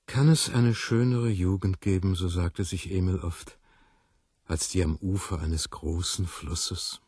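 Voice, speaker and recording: A man with a very low, husky voice reads literary prose. His delivery is slow and measured, phrase by phrase. The recording is dry and close. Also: fairly even intonation, darkish tone, no echo. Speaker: reader. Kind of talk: literary scripted reading.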